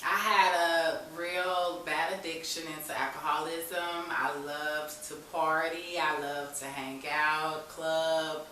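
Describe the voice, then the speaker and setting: A woman's voice: deep voice, level tone, takes it slowly, hardly any pauses, pushed and bright. A woman speaking as an interview guest, telling her own story candidly and informally in conversation. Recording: very echoey room, distant mic, faint hiss.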